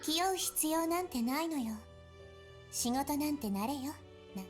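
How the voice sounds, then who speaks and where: A Japanese woman voicing a bossy, lazy young girl in a visual novel, brisk and commanding. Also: sits high, expressive, faint music behind. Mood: breezy.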